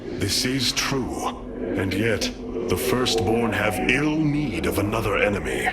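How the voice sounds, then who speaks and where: An actor playing a noble, thoughtful alien leader in a video game, with stately, formal speech. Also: male voice, lowish voice, animated, slow pace, loud music behind the voice. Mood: regretful, thoughtful. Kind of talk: dramatic video game voice acting.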